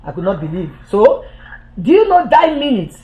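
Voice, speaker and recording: Woman, political commentator, heated, accusatory, repetitive, halting restarts. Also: fairly high pitch, big pitch swings, dull audio. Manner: halting restarts when agitated. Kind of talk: impassioned political monologue.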